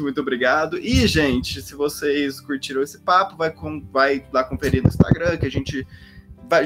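A man's voice: on the high side, a bit bright. Chatty Brazilian man from Minas Gerais. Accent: Minas Gerais Portuguese. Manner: rambling, restarts sentences, casual. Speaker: podcast co-host. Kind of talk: relaxed podcast chat and sign-off.

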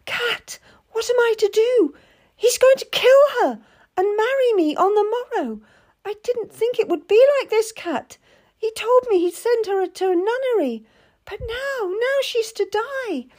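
A woman's voice: extremely high pitch, expressive, measured pace, a little mellow, pitched above the performer's own voice. A woman voicing a frightened, naive young noblewoman who pleads on the edge of tears. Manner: trembling, pleading, near tears.

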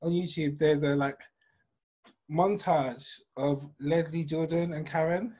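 A British man hosting a casual podcast chat, talkative and sometimes dropping to a low mutter for asides. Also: steady tone, unhurried, warm tone. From United Kingdom. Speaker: podcast host.

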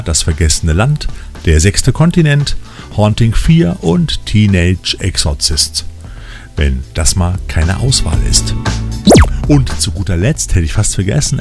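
A man's voice: fairly low pitch, vocal fry, darkish tone. A man reading playful, scripted film release news as the host of a weekly show. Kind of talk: scripted upbeat review narration.

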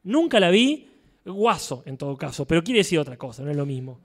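A man's voice: on the high side, big pitch swings, brisk. A man with an Argentine Spanish accent hosting a casual talk show, debating in an emphatic way.

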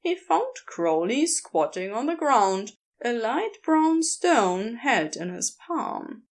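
A woman reading scripted fiction aloud as a narrator, with an expressive storytelling delivery. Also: on the high side, slow. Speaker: podfic narrator.

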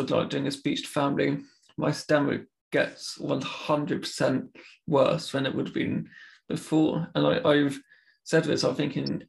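Man, podcast host, stammers, earnest casual chat, very gravelly, flat delivery. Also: on the high side. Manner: earnest, rambling with fillers. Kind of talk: casual conversation.

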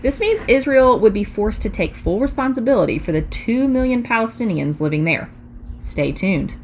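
A woman's voice: on the high side, animated, normal speed, a little mellow, a little hiss or hum. A woman hosting a scripted news digest podcast in a casual, chatty way with playful asides.

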